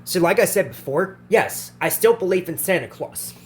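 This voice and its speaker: A man's voice: high-pitched, lively intonation, moderate pace, a little hiss or hum. A man in his twenties hosting a casual question-and-answer vlog, who often repeats himself and restarts his phrases. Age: 20s. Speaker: channel host answering viewer questions.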